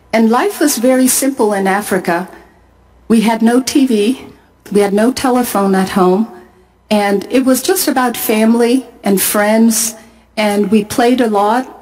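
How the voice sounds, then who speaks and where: A middle-aged woman from Pakistan, speaking at a conference and telling her own story in a measured, earnest way with deliberate pauses. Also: medium pitch, a little mellow, low-quality recording. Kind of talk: testimony talk to a conference audience. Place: large arena.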